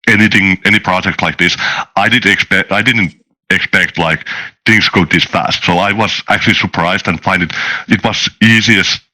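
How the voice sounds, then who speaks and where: A man talking casually as a game designer being interviewed, halting and often restarting his words. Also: low-pitched, pushed and bright, low-quality recording. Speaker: tabletop game designer, guest.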